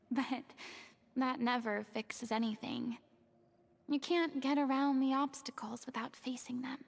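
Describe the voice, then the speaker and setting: A woman voicing a remorseful young woman in a video game, speaking softly and wistfully, often half-whispered. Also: high-pitched, creaky, slightly rough, full character voice. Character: remorseful young woman, former goddess pretender.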